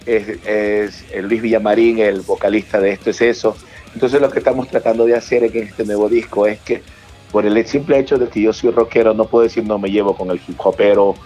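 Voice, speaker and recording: A man with a coastal Ecuadorian accent speaks in a fairly low voice over a dull phone connection, with music in the background. He is an interview guest chatting colloquially, repeating phrases for emphasis. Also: dark tone. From Manabí, coastal Ecuador. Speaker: guest, rock band singer and leader. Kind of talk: casual interview chat. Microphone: telephone call.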